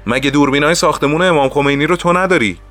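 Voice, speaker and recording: An actor playing a middle-aged veteran security officer, hot-tempered but deferential, with a blustering colloquial manner. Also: male voice, a little high, pushed and bright, faint music behind. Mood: brusque, demanding. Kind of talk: audio drama acting.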